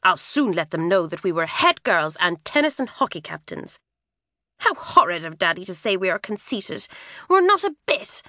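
A woman's voice: fairly low pitch, sing-song, normal speed, sharp tone, no room sound, studio quality. A woman voicing a sulky, hot-tempered teenage schoolgirl with a petulant, pleading manner.

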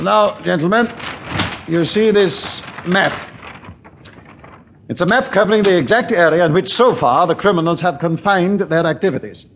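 A senior British police chief in a 1940s radio play. His voice sits fairly high for a man and swings widely as he speaks. He is brusque, pompous and short on patience, used to giving orders. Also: fairly bright tone, a little hiss or hum. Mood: commanding. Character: irritable, authoritative senior Scotland Yard police chief.

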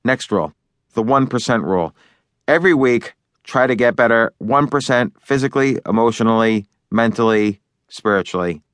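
Male author-narrator, mid-pitched voice, chatty and loose, dry close sound. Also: moderate pace, a bit bright, no room sound. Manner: loose and chatty, with fillers and restarts. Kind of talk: conversational audiobook narration.

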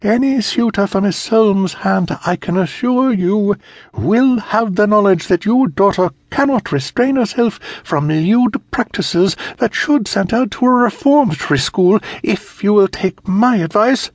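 Brief: male narrator doing a high, shrill, scolding governess woman, prim and wrathful, in a storybook reading. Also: animated, unhurried, dry recording, heavily acted. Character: stern prudish middle-aged female governess, scolding.

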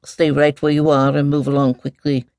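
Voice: mid-range voice, completely flat delivery, normal speed, vocal fry, a little mellow, dry recording, clean studio sound, natural voice. A storyteller of unclear sex voicing a grumpy, fussy, near-sighted giant mole that mumbles and repeats itself in a pompous, liquid mutter. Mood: annoyed, pompous. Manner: repetitive, indignant muttering to itself.

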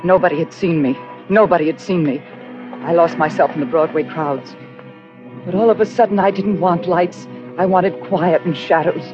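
A woman's voice: lowish voice, normal speed, natural voice. Haunted woman confessing played by an actress, muffled recording. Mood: trembling, obsessive. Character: haunted, guilt-ridden woman murderer narrating her crime. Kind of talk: 1940s radio suspense acting. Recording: dull old radio recording with music.

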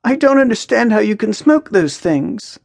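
A woman narrator voicing a lively, teasing, earnest young woman with a lyrical, expressive way of talking in a story. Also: a little high, moderate pace, creaky, no echo, pitched above the performer's own voice, full character voice. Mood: disgusted, embarrassed.

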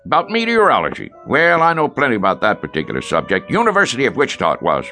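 Folksy, bragging rural American storekeeper, lively. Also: male voice, medium pitch, faint music behind, heavily acted. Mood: boastful. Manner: unhurried, drawling, bragging. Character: folksy, boastful small-town storekeeper telling tall tales. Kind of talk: radio drama acting.

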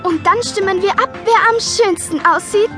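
A woman's voice: extremely high pitch, moderate pace, over music. A woman playing a bossy, self-assured schoolgirl with a confident, showy manner in a children's audio drama. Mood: excited, confident.

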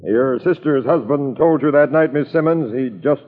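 An actor playing a gruff but polite homicide police captain in 1940s radio drama style, brisk and businesslike. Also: male voice, mid-range voice, over music, lightly acted. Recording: muffled, reverberant old radio recording.

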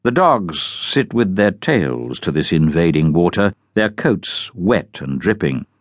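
Deep-voiced man reading expressively. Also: dry recording, clean studio sound. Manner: measured, literary, expressive delivery. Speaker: audiobook narrator. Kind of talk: scripted dramatic reading of a journal.

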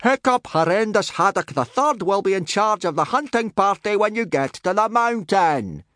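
A man's voice: sits high, animated, normal speed, a bit bright, no room sound, clean studio sound, pitched above the performer's own voice. A man voicing a huge, mad Viking teacher in a storybook, roaring insults and orders with savage glee. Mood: barking orders. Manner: roaring, insulting, drill-sergeant delivery.

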